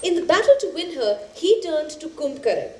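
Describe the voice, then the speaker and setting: An actress playing a spirited storyteller who narrates epic tales in a dramatic, expressive theatrical style. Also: female voice, sits high, moderate pace. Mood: spirited, countering. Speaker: stage actor in a two-hander play. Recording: echoey stage, faint background noise.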